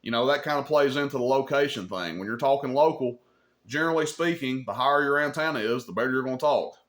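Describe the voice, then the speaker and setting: A man's voice: medium pitch, fast talker, fairly bright tone. A man giving an unscripted, folksy explainer as a video host, stressing and repeating his points and checking in with the listener. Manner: plainspoken, folksy wording. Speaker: CB radio shop video host. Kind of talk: unscripted explainer talk to camera.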